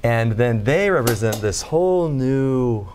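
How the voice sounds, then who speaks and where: A man's voice: medium pitch, expressive, measured pace, darkish tone, slight background noise. A man from Los Angeles, an opera singer, explaining his role articulately in a studio interview. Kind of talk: interview answers.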